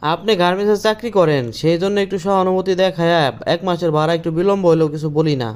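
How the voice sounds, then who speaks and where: A man's voice: high voice, steady tone, quick pace, darkish tone, clear, resonant tone, lightly acted. A man voicing a firm, lecturing cartoon landlord with a Bangladeshi accent. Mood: firm, warning. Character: insistent, threatening male landlord demanding overdue rent. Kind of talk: cartoon voice acting.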